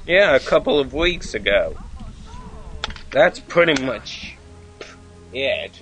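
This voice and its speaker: A man's voice: medium pitch, expressive, slow pace, a bit bright, faint music behind. A man calling in to a gaming show over Skype, hesitant with short answers. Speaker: caller. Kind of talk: call-in chat.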